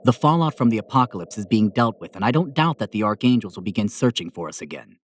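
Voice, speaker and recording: A man's voice: lowish voice, animated, quick pace, a little hiss or hum, natural voice. A man playing a stoic male angel of death in an audio drama, speaking in a serious, formal, controlled way. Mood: stern. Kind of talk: audio drama character acting.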